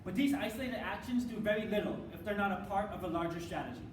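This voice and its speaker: Guy with a really high voice doing reader's theater, measured and dramatic, roomy echo, bit of noise. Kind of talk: rehearsed dramatic spoken-word recitation with some singing. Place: live competition performance in a room. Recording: echoey room, distant mic, faint noise.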